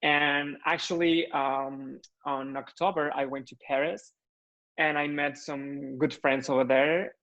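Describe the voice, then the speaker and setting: A young man from Mexico with a Mexican accent, speaking English as a second language in an earnest interview chat, often pausing with fillers to find his words. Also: fairly high pitch, steady tone, fairly bright tone, dull audio. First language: Spanish. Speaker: floral designer guest.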